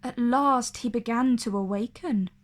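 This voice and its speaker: A woman reading a storybook aloud for children in an expressive, deliberate, dramatic way. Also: fairly high pitch. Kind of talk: expressive read-aloud narration for kids.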